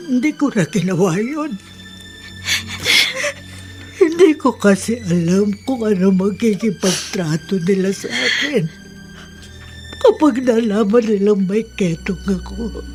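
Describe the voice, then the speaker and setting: An actress playing a gentle, resigned older aunt in a Filipino radio drama, speaking in a halting, plaintive way. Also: female voice, on the high side. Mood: tearful, moved. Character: older aunt, cured former leprosy patient, gentle and resigned.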